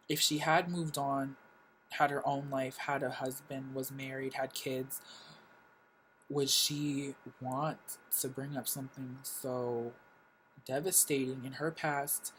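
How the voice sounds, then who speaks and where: A man's voice: medium pitch, fairly bright tone, slight background noise, low-quality recording. A young man born in Korea, telling his life story casually in a reflective vlog, full of fillers. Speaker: vlogger.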